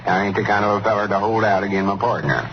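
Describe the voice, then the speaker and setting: A man plays a folksy, scheming storekeeper in a vintage radio comedy. His voice is low, with a rural Southern American drawl and a self-important, persuasive manner. The old recording is dull and clearly noisy. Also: quick pace, lightly acted. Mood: folksy, agreeable.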